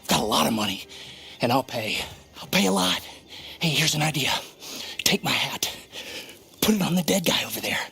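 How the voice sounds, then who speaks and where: An actor playing a desperate captured Old West criminal, gravelly, pleading and scheming in a video game. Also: male voice, on the high side, animated, moderate pace, long pauses, sharp tone, full character voice.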